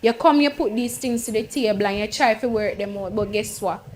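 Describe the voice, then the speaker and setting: A woman's voice: mid-range voice, fairly quick. A woman, talking emphatically in a casual group debate and repeating her points. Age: late 20s. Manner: emphatic, storytelling, repeats herself. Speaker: panel guest.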